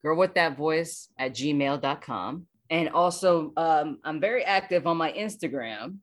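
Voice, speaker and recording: A woman with an American accent talks casually in an interview. Her voice sits low, and she rambles enthusiastically, circling back over her phrases. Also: lively intonation, a little slow. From Washington DC area, United States. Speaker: voice actor, interview guest.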